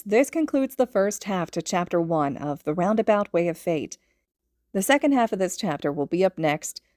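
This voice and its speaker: A woman narrating a story from a script in an expressive read, as a podfic reader. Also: on the low side, no room sound, clean studio sound.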